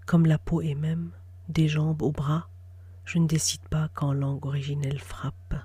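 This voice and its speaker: A woman's voice: sits low, little pitch movement, a little slow, mellow, breathy edge, dry recording. A woman reciting poetry in an intimate whisper. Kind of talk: whispered spoken-word poetry.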